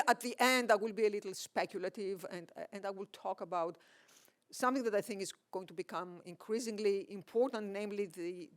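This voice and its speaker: A man's voice: high-pitched, smooth. A man with a Greek accent giving an academic keynote at a conference, with lots of fillers and restarts. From Greece. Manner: hesitant, many fillers and restarts. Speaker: keynote speaker, economist. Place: conference hall.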